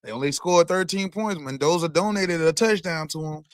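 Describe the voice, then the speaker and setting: A man's voice: on the high side, moderate pace, clear, resonant tone, no echo. A man guesting on a sports podcast, talking in slangy banter and laughing often. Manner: slangy, laughs a lot, says "you know what I'm saying". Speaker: guest host of another show.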